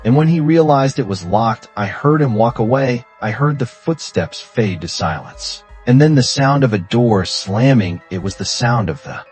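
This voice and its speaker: A man's voice: mid-range voice, lively intonation, moderate pace, hardly any pauses, darkish tone, slightly rough. A man reading scripted first-person horror stories as a narrator. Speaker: horror story channel narrator. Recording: music bed under voice. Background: music.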